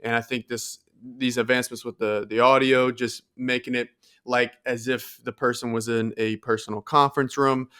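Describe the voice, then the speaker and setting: A man's voice: medium pitch, level tone, normal speed, fairly bright tone, clear, resonant tone. An American man co-hosting a podcast, explaining his views on tech in a casual, earnest way. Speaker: podcast co-host.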